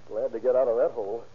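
A man's voice: medium pitch, husky, natural voice. An actor playing a cocky, joking husband who schemes to fake his death, in an old radio crime drama. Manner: breezy, joking, chatty. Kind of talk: 1940s-style radio crime drama acting. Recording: muffled, noisy old radio recording.

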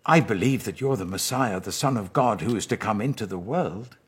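A man's voice: fairly low pitch, lively intonation, fairly quick, slightly rough, pitched above the performer's own voice. A British man voicing a grieving, devout woman with an earnest, pleading manner in a scripture story. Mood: earnest faith.

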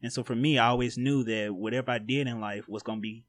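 A young man, hosting a podcast with loud, joking energy. His voice sits at a middle pitch and is recorded dry and close. He swears freely and talks like a hype man. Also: steady tone, quick pace, dry recording. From Louisiana, USA. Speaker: podcast host. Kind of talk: casual chat.

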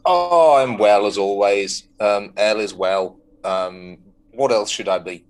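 A man's voice: fairly low pitch, takes it slowly. Hesitant man chatting on a podcast. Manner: hesitant, lots of ums. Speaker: podcast co-host. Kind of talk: casual chat.

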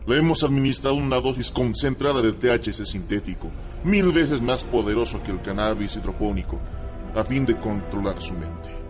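A man's voice: mid-range voice, normal speed, lightly acted. A man playing a cold, clinical interrogator in a radio drama. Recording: music bed behind voice.

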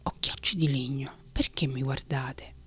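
A fretful old woodcarver murmuring in a hushed, airy whisper, as a woman puts him on. His voice sits low and moves slowly. It is a storybook father, quietly grumbling at his creation. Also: steady tone, breathy edge, heavily acted. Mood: resentful.

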